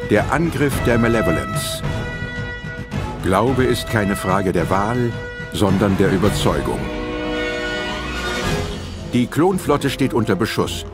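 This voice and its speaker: A man's voice: lowish voice, animated, slow pace, pauses often. A man narrating a science fiction audio drama in a dramatic, scripted style. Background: orchestral music.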